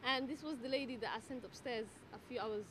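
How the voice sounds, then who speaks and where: A woman from the Netherlands who works as a midwife and tutor, answering interview questions in a thoughtful way with many fillers and pauses. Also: a little high. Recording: noisy background ambience.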